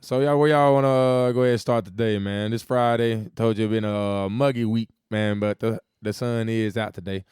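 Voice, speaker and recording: Hoarse laid-back man. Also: lowish voice, steady tone, a little fast, few pauses, a little mellow, dry recording, clean studio sound. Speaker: podcast co-host. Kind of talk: casual chat.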